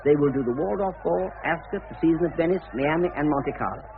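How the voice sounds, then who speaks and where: An actor playing a slick, cynical, domineering publicity promoter in a radio play, with brisk theatrical delivery. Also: male voice, on the high side, creaky. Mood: gloating. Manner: brisk, domineering, self-satisfied. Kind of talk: theatrical radio drama acting. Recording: tinny narrow-band old radio recording, faint noise.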